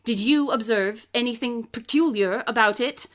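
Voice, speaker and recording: Woman playing pompous high-pitched brilliant detective. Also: moderate pace, lightly acted. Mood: lecturing, emphatic. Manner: pompous, didactic, precisely articulated. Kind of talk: live radio drama acting. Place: closet or desk at home.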